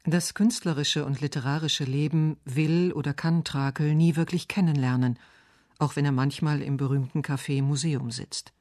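A man's voice: fairly high pitch, steady tone, normal speed, darkish tone, no room sound, clean studio sound. A man reading a scripted literary radio essay as its narrator. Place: dry radio studio.

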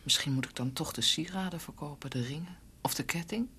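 A woman's voice: low voice, fairly quick, bright, forceful tone, husky, exaggerated voice. An actress playing a tender, devoted and anxious young woman in a Dutch radio drama. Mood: worried.

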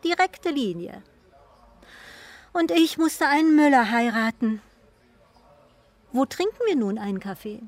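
Haughty, imperious widow. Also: female voice, sits high, lively intonation, a little slow, long pauses. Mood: proud, aggrieved. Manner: haughty, commanding, formal. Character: imperious Polish widow boasting of princely Russian ancestry. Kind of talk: German radio play acting.

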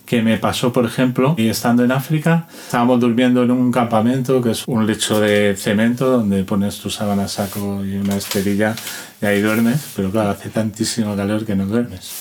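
A man's voice: on the low side, steady tone, moderate pace, darkish tone. A man talking informally, explaining and telling anecdotes like an interviewed musician. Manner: informal, demonstrates rhythms with spoken syllables. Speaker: interviewed musician and instrument collector. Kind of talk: casual explanatory talk with anecdotes.

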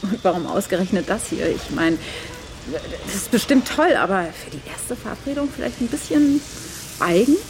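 Eine Schauspielerin spielt in einem Krimi-Hörspiel eine freimütige, neckende Floristin bei einer Verabredung. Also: female voice, medium pitch, animated, normal speed, noisy background, lightly acted. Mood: amused, teasing. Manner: frank, teasing. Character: teasing, blunt woman florist on a date. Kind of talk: radio crime drama dialogue.

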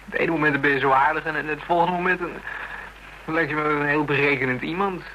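A man's voice: fairly high pitch, fast, noisy background, natural voice. A man playing an insecure, blunt young suitor in a radio drama, hesitant and informal in his speech. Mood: puzzled, exasperated. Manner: hesitant, stumbling, informal, excitable. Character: Ruud, insecure jealous teasing young suitor, blunt and boyish. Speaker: actor in a radio play. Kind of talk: Dutch radio drama acting, naturalistic dialogue.

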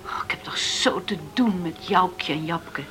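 A woman's voice: on the low side, a little slow, in a whisper, close to an everyday voice. An actress in a Dutch radio play playing a caring, matter-of-fact island farm woman, plain-spoken and motherly. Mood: sorrowful. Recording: noisy radio drama with wind and surf.

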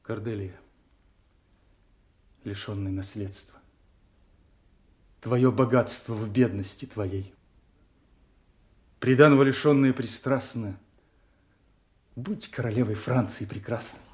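A man's voice: medium pitch, slow, long pauses, darkish tone, close to an everyday voice. A man playing a gallant, earnest young king in a declamatory, noble classical radio drama. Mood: noble, ardent. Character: gallant, earnest king of France, courting suitor. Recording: echoey studio radio play.

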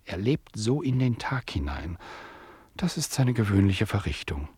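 An actor narrating a radio play as a measured, wry storyteller who addresses the listener. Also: male voice, on the low side, big pitch swings, darkish tone, breathy edge, no room sound, heavily acted. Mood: wry storytelling.

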